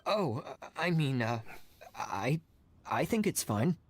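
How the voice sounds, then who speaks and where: Timid easygoing young candidate in a game, low voice, slow, unsure. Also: female voice, sing-song, a little hiss or hum, heavily acted. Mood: hesitant. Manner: halting, unsure. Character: meek, hesitant, easygoing young exam candidate. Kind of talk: video game voice acting.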